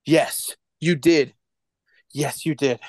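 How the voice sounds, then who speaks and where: Man acting a high-voiced, anguished broken prisoner. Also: fairly even intonation, takes it slowly, dry recording, studio quality. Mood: anguished defiance. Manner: anguished, pleading, repetitive. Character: broken, terrified male political prisoner under torture. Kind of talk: radio drama acting.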